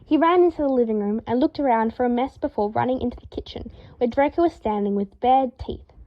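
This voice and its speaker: A woman reading a story aloud as narrator in an expressive, scripted style. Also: a little high, a little fast, highs cut off. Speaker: fan fiction narrator.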